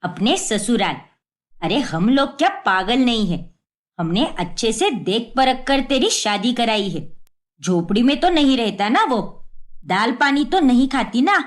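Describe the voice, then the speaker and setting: A woman's voice: on the high side, expressive, unhurried. A woman voicing a blunt, unyielding middle-aged mother who lectures in an exasperated way, for a story.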